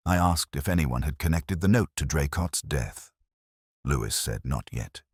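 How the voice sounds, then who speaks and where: Very deep-voiced brooding male narrator. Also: lively intonation, moderate pace, breathy edge, no echo, studio quality. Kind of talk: first-person scripted detective story narration.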